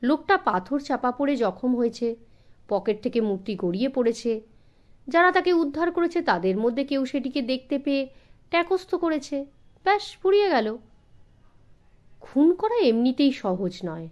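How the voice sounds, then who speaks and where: Storybook detective, male, cool and sceptical, matter-of-fact, read by a woman, higher voice with animated inflection. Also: moderate pace, long pauses. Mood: dismissive, reasoning.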